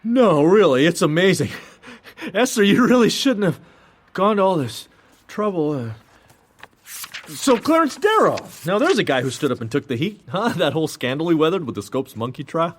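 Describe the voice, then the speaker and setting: An actor narrating as an earnest young student, telling his story in an earnest, wry and lively way. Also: on the high side, a little fast, no echo. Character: earnest young Japanese American male student. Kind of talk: one-man stage play narration.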